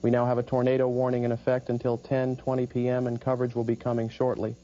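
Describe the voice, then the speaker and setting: A man as a local TV weather presenter, monotone, mellow tone, dull lo-fi sound, measured delivery. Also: medium pitch, lightly acted. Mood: concerned. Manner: steady, measured forecast delivery with small hesitations. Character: calm male TV meteorologist on a local station. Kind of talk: amateur broadcast role-play.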